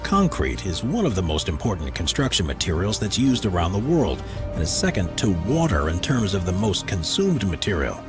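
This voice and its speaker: A man's voice: low-pitched, huge pitch swings, normal speed. A man reading scripted narration for a countdown explainer video. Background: background music.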